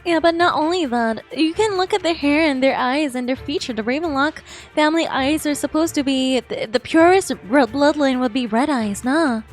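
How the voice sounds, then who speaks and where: A woman's voice: high voice, normal speed, faint music behind. A woman game streamer giving chatty, exclamatory live reactions in a casual style.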